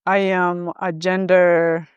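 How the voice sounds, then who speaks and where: Middle-aged woman guest, measured and hesitant, clean resonant tone, dry close sound. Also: fairly low pitch, level tone, clean tone, no echo, highs cut off. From Rwanda, raised in Uganda. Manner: thoughtful, hesitant with many ums. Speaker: interview guest, gender professional. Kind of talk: conversational interview.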